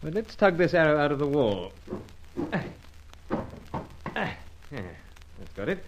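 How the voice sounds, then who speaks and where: An actor playing a suave, sardonic British secret agent with a clipped, dryly nonchalant delivery in radio spy serial style. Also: male voice, fairly high pitch, sing-song, measured pace, lo-fi sound, noisy background. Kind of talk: old-time radio spy serial acting.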